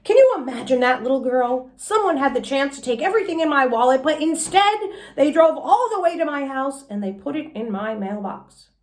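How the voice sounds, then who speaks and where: Woman acting as old grandfather, kindly, folksy, affectionate, animated delivery, children's storybook. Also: sits high, fairly quick, few pauses, pitched above the performer's own voice. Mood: tender wonder. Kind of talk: children's storybook read-aloud.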